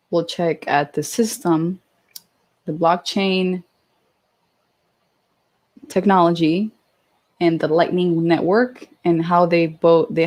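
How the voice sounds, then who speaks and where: A woman's voice: lowish voice, darkish tone. A woman in her twenties hosting a casual livestream, chatty and giggly as she reads out and answers viewer questions. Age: 20s. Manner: giggly, chatty, with many fillers and restarts. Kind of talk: casual live chat.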